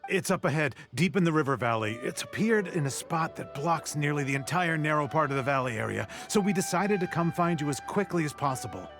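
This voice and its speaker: A man's voice: on the high side, animated, a little fast, hardly any pauses, over music. An actor playing a dutiful male forest ranger in a video game, explaining things earnestly. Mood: urgent, relieved. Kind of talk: English video game voice acting.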